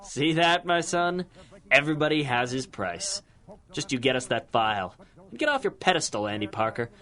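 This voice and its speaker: A man's voice: medium pitch, very animated, normal speed, sharp tone. An actor playing a cynical, corrupt older policeman in a 1930s radio crime drama, taunting in folksy tough-guy slang. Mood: smug, taunting. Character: cynical corrupt older cop, menacing and mocking.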